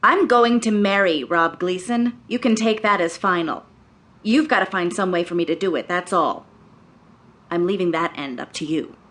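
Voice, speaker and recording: An actress playing a willful, sulky young heiress in a radio drama, terse and insistent in manner. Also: female voice, lowish voice, normal speed, fairly bright tone, close to an everyday voice. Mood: insistent, demanding.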